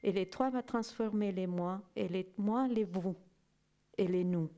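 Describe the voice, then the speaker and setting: A woman giving a personal testimony in halting, non-native French, often searching for words and checking in with "non?". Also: mid-range voice, dark tone. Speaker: guest speaker giving a testimony. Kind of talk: slow reflective personal testimony.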